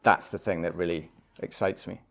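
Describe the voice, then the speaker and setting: A man's voice: low-pitched, little pitch movement, normal speed, creaky, a bit bright. A man chatting casually as he interviews a guest on a podcast. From United Kingdom. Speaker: podcast host.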